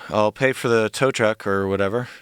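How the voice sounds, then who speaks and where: An actor playing a nervous, affable sidekick in an audio drama, hesitant and rambling, with a wry edge. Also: male voice, lowish voice, steady tone, normal speed, a bit bright, smooth, dry recording, studio quality, natural voice. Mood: sheepish.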